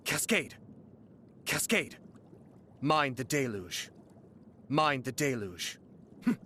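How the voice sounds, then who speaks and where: A man voicing a composed young lord and clan leader in a video game, speaking in a polite, refined, quietly amused manner. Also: mid-range voice, a little hiss or hum. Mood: commanding. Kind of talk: video game character voice acting. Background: background music.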